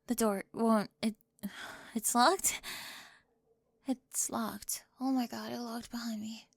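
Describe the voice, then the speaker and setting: Woman doing a snarky cheerleader bully, kinda high voice w/ vocal fry, lots of close whispering. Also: steady tone, slow pace, no room sound, exaggerated voice. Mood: snippy. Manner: sarcastic, prickly, defensive, often whispering. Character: sharp-tongued young woman cheerleader bully. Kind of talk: ASMR roleplay.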